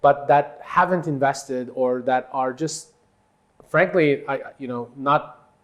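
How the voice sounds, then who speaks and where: A man from Turkey, a native Turkish speaker, giving conversational interview answers as a company executive, in halting phrases with emphatic points. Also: medium pitch, muffled recording.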